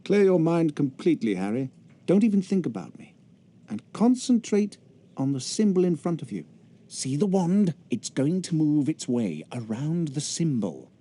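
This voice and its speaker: An actor playing a vain celebrity wizard teacher in a video game, speaking in a pompous, theatrical, self-satisfied way. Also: male voice, on the high side, very animated, normal speed, mellow. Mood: showy instructing.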